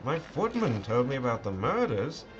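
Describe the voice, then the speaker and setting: A man's voice: mid-range voice, big pitch swings, normal speed, natural voice. A man playing a haughty, evasive English lord and minister in an audio drama, with pompous, clipped aristocratic British speech. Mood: breezy, evasive. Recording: dull, band-limited sound with music behind.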